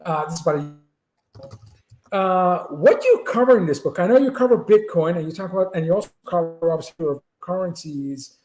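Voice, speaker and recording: A man's voice: sits high, fairly quick, darkish tone. A man co-hosting an interview, asking long, rambling questions full of fillers. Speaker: podcast co-host. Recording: echoey room.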